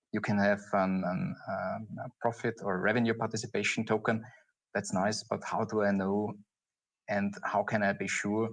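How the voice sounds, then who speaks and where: A man's voice: fairly low pitch, low-quality recording. A man with an Austrian accent speaking as a panelist at a conference, hesitating and searching for his words. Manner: halting, searching for words, chuckling.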